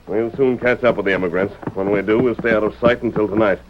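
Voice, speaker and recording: An actor playing an earnest, resolute western hero in an old-time radio drama, with formal, authoritative diction. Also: male voice, on the low side, fairly even intonation, fast talker, noisy background, natural voice. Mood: serious, probing. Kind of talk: 1940s radio western acting. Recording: muffled old radio recording.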